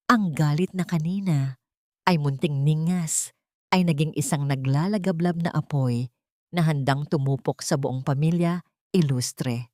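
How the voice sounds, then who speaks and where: Filipino woman narrator, low-pitched and close. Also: dry recording. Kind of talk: dramatic scripted story narration.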